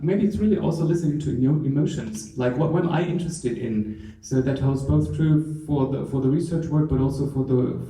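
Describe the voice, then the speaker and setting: A man on a discussion panel, answering in a reflective, soft-spoken way that trails off. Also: medium pitch. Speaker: scientist panelist. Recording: echoey room, mic far off.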